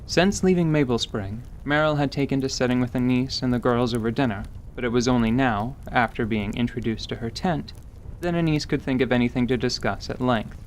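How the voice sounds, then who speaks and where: Male narrator for fantasy radio theatre, average pitch, scripted storytelling, faint low rumble behind. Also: brisk, low-quality recording.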